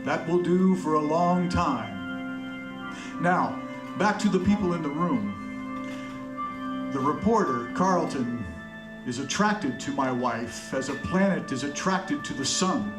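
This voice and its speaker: Man playing a slow, brooding dead narrator. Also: a little high, animated. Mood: wry. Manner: slow, florid, deliberate. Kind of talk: poetic radio noir monologue. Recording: roomy stage sound with guitar behind.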